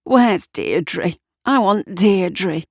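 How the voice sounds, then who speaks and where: A woman's voice: fairly low pitch, lively intonation, extremely slow, vocal fry, no room sound, studio quality, full character voice. A woman voicing a grieving, fearful old man in a story, hesitant and plain-spoken. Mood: anxious, weary. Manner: hesitant, plain-spoken, dialect turns of phrase.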